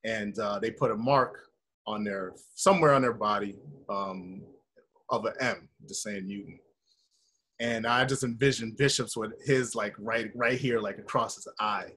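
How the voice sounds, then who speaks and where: An American man in his thirties chatting casually on a video call, telling stories in a slangy, rambling, animated way. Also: fairly low pitch, unhurried, breathy edge. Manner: animated, slangy, rambling with frequent "you know" and "like". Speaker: actor being interviewed. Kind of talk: casual chat, storytelling. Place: indoor room, video call.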